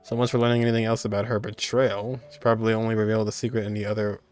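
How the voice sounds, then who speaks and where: A man's voice: fairly low pitch, level tone, very fast, a little mellow, soft background music. A man streaming a video game, commenting casually in a laid-back way as if talking to himself. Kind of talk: casual let's play commentary, reading game choices aloud.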